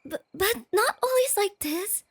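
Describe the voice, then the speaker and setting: A bashful, nerdy young girlfriend in an ASMR roleplay. Her soft, girlish voice sits extremely high and moves slowly, hesitating and stammering as she gets flustered. It is recorded close and very dry, with night insects chirping behind. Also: animated, no room sound, exaggerated voice. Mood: hushed, wistful.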